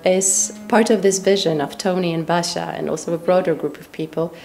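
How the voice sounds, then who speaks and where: A woman's voice: fairly low pitch, steady tone, fairly quick, gravelly, a little mellow. A woman in her thirties speaking in an interview as a cultural institute representative, indoors. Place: indoor gallery room.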